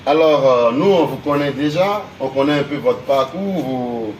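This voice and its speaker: A man's voice: mid-range voice, animated, moderate pace. Guy hosting a tv interview, keeps saying super super, roomy echo, mic kinda far off. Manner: effusive, repeats praise words. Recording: echoey room from a distance with hiss.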